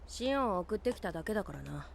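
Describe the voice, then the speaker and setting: A woman playing a cocky, blunt boy with an old head on young shoulders, speaking in rough casual style in an anime audio drama. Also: mid-range voice, very animated, quick pace, slight background noise. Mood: brash. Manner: rough, casual, brash boyish speech. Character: cocky, blunt boy who is secretly much older.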